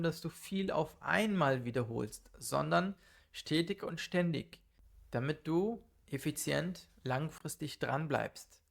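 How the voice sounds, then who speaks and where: Give me a man with a fairly high, gravelly voice, calmly going through study tips. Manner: calm, methodical, lists numbered tips. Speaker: German teacher. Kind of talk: slow, clear teaching monologue for learners.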